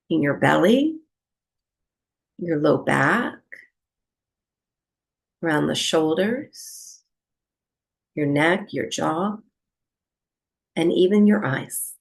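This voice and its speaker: A woman leads a guided breathwork session at a very slow pace. Her voice sits a little low, with a strong creak in it. She gives gentle instructions and leaves long pauses between them. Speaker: yoga and breathwork teacher.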